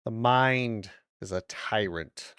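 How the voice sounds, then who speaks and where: A streamer of medium-pitched voice giving casual watch-along commentary, reading phrases aloud deliberately and adding loose muttered asides. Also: a bit bright, smooth, dry recording, studio quality. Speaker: streamer reacting to videos.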